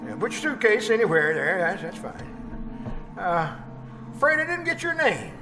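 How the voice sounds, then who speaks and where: A man's voice: high-pitched, very animated, unhurried, full character voice. A man playing a smarmy, shifty employer in an old-time radio drama, fussily polite, hesitant and prone to chuckle. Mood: oily, flustered. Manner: hesitant, chuckling, over-polite, flustered delivery. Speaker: amateur radio troupe actor. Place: live performance at a winery. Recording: live stage performance with music.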